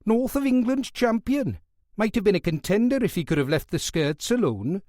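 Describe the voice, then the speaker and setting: A man voicing a chatty Welsh prison guard with a high voice who is a keen boxing fan. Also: big pitch swings, dark tone, no room sound, studio quality. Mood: enthusiastic. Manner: genial, chuckling, quick to talk.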